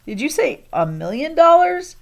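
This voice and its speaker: An earnest young schoolgirl from a children's storybook, acted by a woman reader. She sounds nervous and hesitant, with a somewhat high pitch. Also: very animated, moderate pace, gravelly, exaggerated voice. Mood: surprised.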